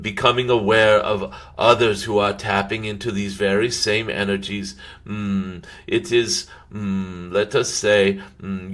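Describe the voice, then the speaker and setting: A man channeling a serene, benevolent golden cosmic dragon, speaking formally and haltingly with frequent drawn-out hums to a live audience. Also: lowish voice, fairly bright tone. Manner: formal, ceremonial phrasing. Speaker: channeler hosting a live stream.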